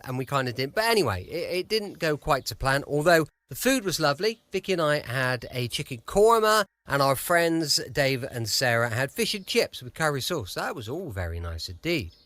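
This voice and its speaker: A man's voice: on the high side, a bit bright, no echo. A man with an English accent chattily introducing a podcast as its host. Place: dry close-recorded room at home.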